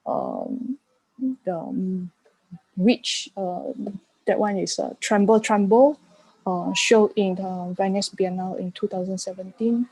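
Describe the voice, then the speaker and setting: A woman giving reflective answers as an interview guest, haltingly searching for her words. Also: medium pitch, sing-song, mellow, husky, dry recording, rough recording. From Malaysia. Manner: halting, searching for words in non-native English. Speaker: art curator and panel guest.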